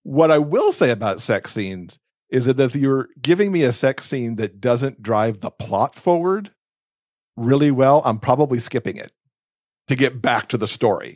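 A man's voice: mid-range voice, darkish tone, no room sound, clean studio sound. A man co-hosting a podcast, talking casually in conversation. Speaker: podcast co-host.

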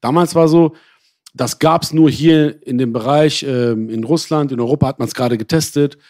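A man's voice: a little high, moderate pace, darkish tone, dry recording, clean studio sound. A man born in Iran who grew up in Germany, talking casually and personally as an interview guest, in slangy, colloquial language with digressions and self-corrections.

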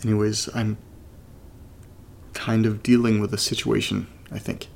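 A male actor plays an uneasy, reluctant man in a horror audio drama. His voice sits fairly low, with a heavy gravelly fry. He speaks haltingly and naturally, as if muttering to himself, over a faint rumbling noise. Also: monotone, darkish tone. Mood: uneasy, subdued. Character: uneasy, reluctant man exploring a creepy place and reading strange letters. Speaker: main character and narrator of a horror audio drama. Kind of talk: naturalistic found-recording audio drama monologue, partly reading a letter aloud.

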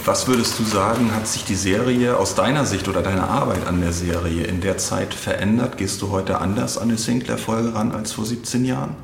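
A man's voice: on the low side, fairly quick, gravelly, hardly any pauses. A man interviewing a guest for a podcast in a casual, conversational way. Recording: roomy echo, faint background noise.

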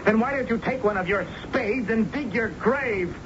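An actor playing an angry, grieving young son, heated and accusatory, in 1970s American radio drama style. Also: male voice, sits high, moderate pace, natural voice. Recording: muffled, noisy old radio broadcast.

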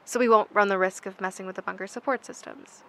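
A woman's voice: medium pitch, fairly even intonation, fast, vocal fry, noisy background, lightly acted. A woman acting a careful, unsure bunker technician in a horror audio drama. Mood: cautious.

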